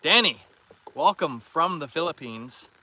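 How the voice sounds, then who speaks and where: A man's voice: a little high, lively intonation, unhurried. Friendly Canadian man livestreaming over outdoor noise. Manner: friendly, welcoming, thanks and greets viewers by name. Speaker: livestream host, angler. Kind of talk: casual livestream chat, reading and answering viewer comments. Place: outdoors in a canoe on a pond. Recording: noisy outdoor recording with water and canoe sounds.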